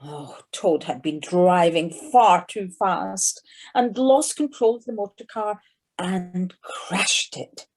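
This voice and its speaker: A woman's voice: on the low side, takes it slowly, darkish tone. A woman narrating an audio play as a storyteller, theatrical, with emphasis on key words. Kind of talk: scripted dramatic storytelling. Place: living room, recorded over video call.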